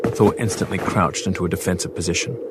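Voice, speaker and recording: A man with a low voice narrates scripted fiction in a dramatic style. Loud music plays behind him, as in a produced audio drama. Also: fairly even intonation, quick pace.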